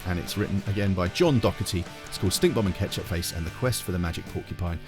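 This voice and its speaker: A man reading a children's storybook aloud as a teacher, in an expressive narrating style. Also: sits low, fast, over music.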